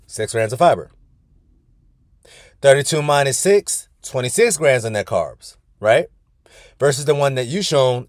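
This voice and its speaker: A man's voice: medium pitch, a little mellow, no echo, studio quality. A man coaching a weight-loss group on a video call from his car, talking casually and often calling the group "y'all". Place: inside his parked car.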